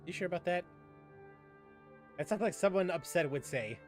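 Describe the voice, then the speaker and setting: A man's voice: high-pitched, lively intonation, normal speed. A man giving casual gameplay commentary as a streamer, with wry, sarcastic asides. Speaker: gaming streamer. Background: game music.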